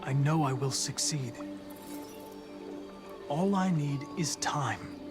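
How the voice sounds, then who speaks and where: An earnest young male android investigator in a game drama. His voice sits a little high for a man, with formal, precise, controlled phrasing. Loud music runs behind it. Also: slow pace. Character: polite, determined young male android detective. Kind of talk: dramatic video game acting.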